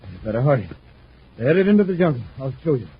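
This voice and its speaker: A man's voice: mid-range voice, big pitch swings, fast, breathy edge. A man playing a plainspoken, stubborn old space outlaw with rustic American speech in a vintage radio serial. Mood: earnest. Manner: folksy rustic drawling speech. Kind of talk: 1950s radio serial acting. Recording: muffled noisy old radio recording.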